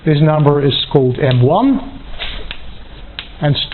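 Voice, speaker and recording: A man giving a mathematics lecture, working step by step in a halting, self-correcting way. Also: fairly high pitch, animated. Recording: thin phone-like band, clearly noisy, clicks.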